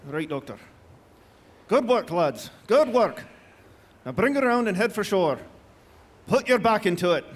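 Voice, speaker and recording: An actor playing a kindly gentleman boatman, hearty, chummy and urging, in a theatrical live audio drama. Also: sits high, sing-song, unhurried, long pauses, exaggerated voice. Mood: hearty, reassuring.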